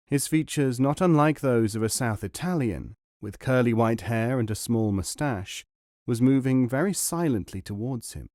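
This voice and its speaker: A man reading a novel aloud in a measured storytelling voice at a middling male pitch. The recording is close and dry, with no room sound. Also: darkish tone, dry recording. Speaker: audiobook narrator.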